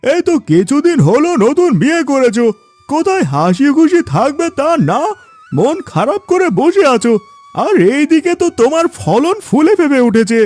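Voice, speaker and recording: Nosy chatty village neighbour, cartoon voice way up high, singsong and exclaiming, super animated. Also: male voice, moderate pace, faint music behind. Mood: concerned, curious. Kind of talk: cartoon fairy-tale voice acting.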